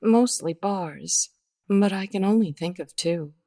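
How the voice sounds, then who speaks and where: An actress playing a guarded, weary 1950s widow in a radio mystery, with a hesitant, melodramatic delivery. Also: female voice, medium pitch, measured pace, dark tone, natural voice. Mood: weary, reluctant. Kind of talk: 1950s radio drama acting.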